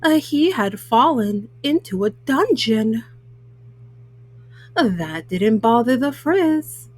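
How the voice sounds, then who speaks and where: Slow, halting woman reading a storybook. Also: fairly high pitch, big pitch swings, darkish tone, no room sound. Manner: halting, word-by-word reading with frequent hesitant fillers. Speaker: storyteller, host of a children's read-aloud show. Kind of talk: reading a children's picture book aloud.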